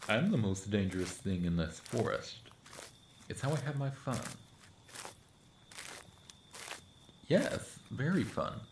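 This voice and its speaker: Low-voiced playful forest monster acted by a man, echoey. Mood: boastful, gleeful. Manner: casual, teasing, boastful, chuckling delivery. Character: playful prankster forest cryptid, friendly, mischievous, clawed monster. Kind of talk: ASMR roleplay, conversational monologue to listener. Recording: roomy echo, clear background noise.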